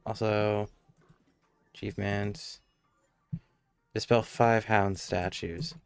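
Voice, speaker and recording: A man's voice: fairly low pitch, flat delivery, slow, vocal fry, smooth, no room sound, soft background music. A man giving casual live gameplay commentary as a streamer, in an offhand, muttering way. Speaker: game streamer.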